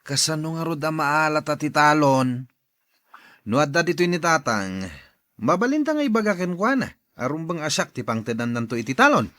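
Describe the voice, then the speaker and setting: A man's voice: on the high side, sing-song, normal speed, a bit bright. A man playing a grasping, calculating schemer in an audio drama, with an insistent way of talking. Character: grasping schemer after an old man's farmland. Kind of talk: Ilocano audio drama acting.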